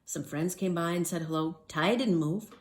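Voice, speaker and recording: A woman reading a children's story aloud as a storyteller, expressive and emphatic. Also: lowish voice, moderate pace.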